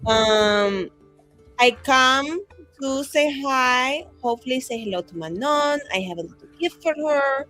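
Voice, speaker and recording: Woman, fairly high voice, casual improvised roleplay, faint music. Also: measured pace, sharp tone. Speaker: roleplaying game player. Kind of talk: casual, improvised in-character letter reading.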